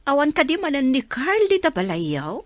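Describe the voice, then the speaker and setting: A concerned older mother in a Filipino radio drama. Her voice is very high with lively swings, motherly and admonishing. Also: moderate pace, no echo.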